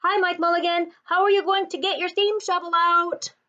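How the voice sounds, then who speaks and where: A woman voicing excited townspeople in a children's picture book, exclaiming and calling out emphatically. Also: very high-pitched, normal speed, dull audio, pitched above the performer's own voice. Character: excited crowd of townspeople, cheering and questioning.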